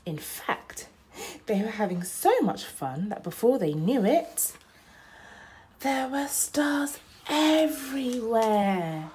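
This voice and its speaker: A young woman narrating a children's bedtime picture book aloud, with deliberate, dramatic phrasing. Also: on the high side, sing-song, darkish tone, slight background noise.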